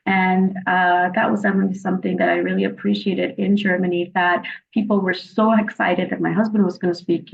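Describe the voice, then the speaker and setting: A woman from Pakistan talks as a podcast guest, thoughtful and relaxed, often pausing on fillers and restarting her sentences. Her voice has a gravelly, fry-tinged edge. The audio comes through somewhat dull and lo-fi. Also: lowish voice, a little hiss or hum. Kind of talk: casual conversation.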